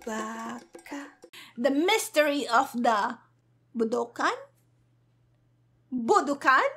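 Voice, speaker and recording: A woman streamer commenting playfully over a game in a very high-pitched voice. She takes her time with the words, sounding giggly and sassy, and her tone is bright and sharp. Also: expressive, slow pace, husky, soft background music. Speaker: gaming streamer. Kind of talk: casual playful gameplay commentary.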